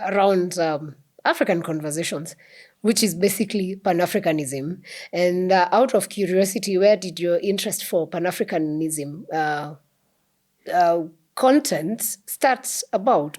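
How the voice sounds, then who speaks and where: Woman host, lowish voice, hesitant questions full of fillers. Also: moderate pace, darkish tone. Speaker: podcast host. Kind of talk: interviewing a guest.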